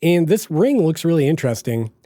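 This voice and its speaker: Young male podcast host, slight Boston accent, casual rambling delivery, fairly high mellow voice, dry recording. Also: big pitch swings, a little fast, no room sound. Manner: chatty, rambling, self-correcting. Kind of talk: casual solo podcast monologue.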